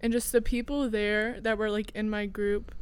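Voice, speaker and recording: A woman's voice: mid-range voice, level tone, normal speed, clean tone. A teenage girl from Indiana, a student co-host, chatting in a casual, storytelling way with many fillers. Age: teens. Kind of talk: casual chat.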